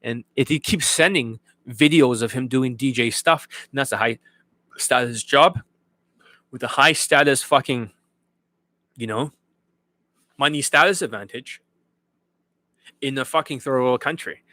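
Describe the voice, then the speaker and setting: A man's voice: medium pitch, measured pace, pauses often, sharp tone, dry recording. A man coaching viewers on dating in a blunt, profane rant, often checking that his listeners follow. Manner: blunt, profane, repeatedly asks if viewers get it. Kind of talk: unscripted livestream rant to viewers.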